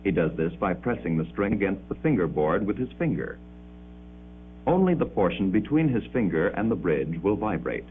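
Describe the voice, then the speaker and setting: A man delivering formal, measured 1950s educational film narration with precise enunciation, on an old muffled soundtrack. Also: deep voice, sing-song, slight background noise.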